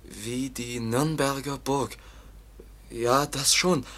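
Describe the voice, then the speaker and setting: Radio drama role: young foundling man, naive, plaintive, halting, easily frightened. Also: mid-range voice, fairly even intonation, fairly bright tone. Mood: sad, plaintive. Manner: halting, naive, short simple sentences. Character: melancholy, fearful, childlike young foundling man. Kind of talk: classic German radio play acting.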